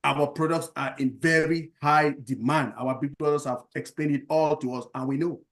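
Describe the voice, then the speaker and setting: Man from Cameroon, earnest, repetitive, halting with fillers, slightly high voice. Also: steady tone, a bit bright. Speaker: round table speaker. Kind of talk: impassioned testimonial speech.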